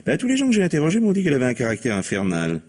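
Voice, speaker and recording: Sly police commissioner, swooping intonation. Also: male voice, on the high side, wildly swooping pitch, racing, a little mellow, breathy edge, full character voice. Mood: ironic, insistent. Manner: probing, ironic, pointedly repeating answers. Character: sly, insistent male police commissioner questioning suspects. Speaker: detective. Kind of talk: French radio mystery play acting.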